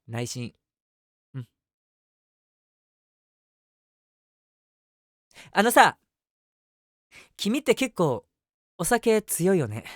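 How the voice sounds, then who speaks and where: An eager, lovestruck young man played by a male actor tells his story straight to the listener in a voice drama. His delivery is very lively in pitch, chatty and self-deprecating, with a submissive puppyish temper. The sound is dry and close. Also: slow, dry recording, exaggerated voice. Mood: nervous, giddy. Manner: chatty, rambling, self-mocking. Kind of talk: situation voice drama, first-person monologue to the listener.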